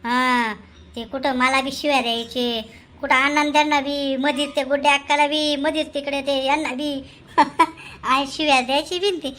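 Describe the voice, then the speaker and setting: High voice, woman acting chatty teasing village neighbour, Khandeshi Marathi accent, exclamatory, comic cartoon. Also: fairly even intonation, moderate pace, pushed and bright. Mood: teasing, curious. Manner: exclamatory, gossipy, rural colloquial.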